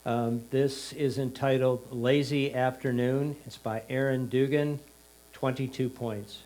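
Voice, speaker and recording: A man's voice: mid-range voice, steady tone, unhurried, darkish tone. A man plainly announcing entries and scores at a competition. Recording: faint mains hum.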